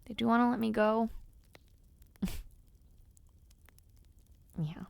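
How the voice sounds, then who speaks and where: A woman voicing a playful, affectionate young noblewoman knight in an audio roleplay, speaking softly and teasingly and often dropping into whispers. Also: a little high, takes it slowly, creaky, no room sound, exaggerated voice. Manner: soft, teasing and intimate, drops to whispers.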